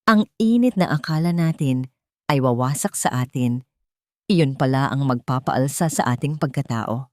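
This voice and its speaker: A woman with a Filipino accent narrating a scripted dramatic story in a solemn, deliberate, literary way. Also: a bass voice, a little mellow, dry recording, studio quality.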